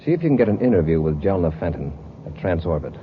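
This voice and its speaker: An actor playing an authoritative, decisive space patrol commander with a clipped, commanding manner in a vintage radio serial. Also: male voice, deep voice, big pitch swings, quick pace. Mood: steady, businesslike. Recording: dull old radio recording, faint hiss.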